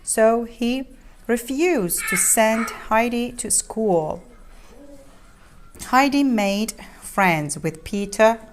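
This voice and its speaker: A woman reads a children's story aloud, haltingly, repeating and explaining words as she goes. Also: a little high. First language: not English.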